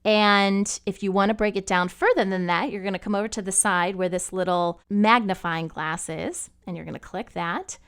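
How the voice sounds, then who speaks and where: A woman host giving a casual, explanatory walkthrough of podcast stats software, talking the listener through each step. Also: medium pitch, steady tone, moderate pace, hardly any pauses, clear, resonant tone. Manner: casual, explanatory, uses "you know" and "gonna". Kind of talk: screen-share software tutorial.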